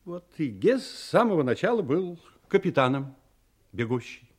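An actor playing an affable, chatty chief mate of a sailing ship in an audio drama, conversational and gossipy. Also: male voice, fairly high pitch, very animated, moderate pace, darkish tone, husky. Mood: affable, explaining.

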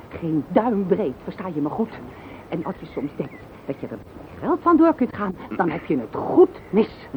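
An actress playing a bitter, defiant betrayed wife in a radio play, with an emphatic delivery. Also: female voice, on the low side. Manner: taunting, emphatic. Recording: muffled radio play recording, faint noise.

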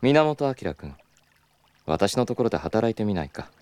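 Low-voiced, suave Kyoto schemer. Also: male voice, very animated, unhurried, a little mellow, no echo. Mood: smooth, persuasive. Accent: Kyoto Japanese. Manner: smooth polite speech slipping into teasing Kyoto dialect. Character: suave, stubborn club boss, flirtatious and scheming. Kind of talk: audio drama acting.

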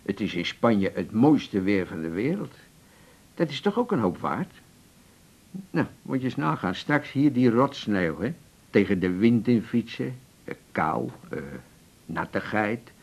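An actor playing a jovial older man in a Dutch radio drama, folksy and persuasive, with a gravelly, husky voice. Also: lowish voice, sing-song, a little slow, heavily acted. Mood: jovial, teasing. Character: jovial, coaxing older man courting a companion.

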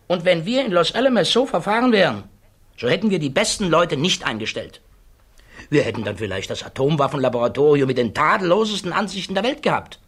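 An actor playing a principled, quietly defiant physicist under interrogation, speaking deliberately and precisely in the style of 1960s German radio drama. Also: male voice, on the high side. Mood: emphatic, indignant. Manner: deliberate, precise, formally articulate.

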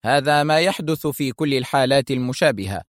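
A man's voice: medium pitch, lively intonation, fast, no echo, clean studio sound, pitched above the performer's own voice, natural voice. A man voicing a resigned male police major, explaining things in an official way in an audiobook thriller.

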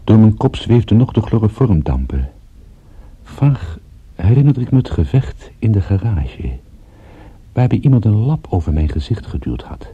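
An actor playing a weary, battered private detective, narrating a radio drama in a measured, grim way. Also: male voice, lowish voice, very animated, husky, exaggerated voice. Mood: groggy, watchful. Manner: measured, grim, literary storytelling. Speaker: narrator and lead of a radio play. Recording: narrowband, muffled old radio recording.

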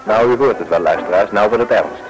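A man's voice: lowish voice, brisk, music in the background, rough recording. A man narrating a 1950s radio play in a wry, mock-dramatic way.

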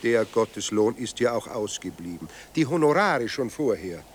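An actor playing a sardonic, bitter dead writer, newly sober, speaking with ironic, theatrical eloquence in a radio drama. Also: male voice, lowish voice, sing-song, normal speed, a little hiss or hum. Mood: scornful.

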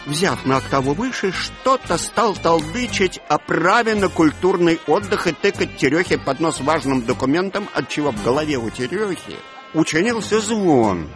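Expressive male narrator over music. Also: a little high, normal speed. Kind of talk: literary narration in an audio play.

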